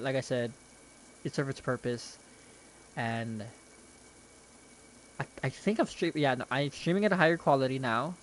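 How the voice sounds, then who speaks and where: A man's voice: mid-range voice, slight background noise, poor audio. A young man casually rambling and chatting to viewers while streaming a game from his bedroom, self-deprecating and talkative.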